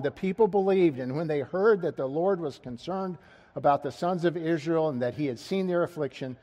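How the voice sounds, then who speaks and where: Middle-aged guy preaching, voice on the high side, keeps asking the crowd "right?". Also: darkish tone. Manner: asks the congregation rhetorical questions, rising emphasis. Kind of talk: expository sermon.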